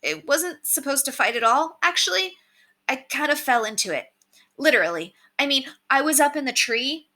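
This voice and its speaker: A woman's voice: high voice, expressive, moderate pace, sharp tone, voice pushed up. A woman voicing an earnest, hesitant young boy in a storybook, sounding unsure and sheepish.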